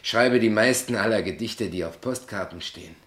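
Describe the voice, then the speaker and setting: Radio play actor as naive small-town poet: man, lowish voice, earnest, halting, stubbornly proud. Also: a bit bright, natural voice. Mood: naive, admiring. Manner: earnest, hesitant, stubborn when challenged.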